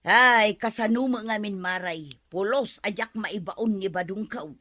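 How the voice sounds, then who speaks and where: A woman's voice: medium pitch, measured pace, sharp tone, dry recording. A woman playing a complaining village wife in a comedy audio drama, grumbling and gossipy. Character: complaining, long-suffering village wife running errands. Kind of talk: comedy radio drama acting.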